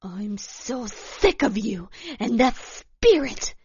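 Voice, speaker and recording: A woman storyteller voicing a furious, irritable, demanding woman who snaps and wails loudly. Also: medium pitch, animated, very slow, no room sound, dull audio. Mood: furious, distraught. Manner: loud, snapping, wailing outbursts. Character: furious, shrill, foul-mouthed woman hiker, irritable and demanding.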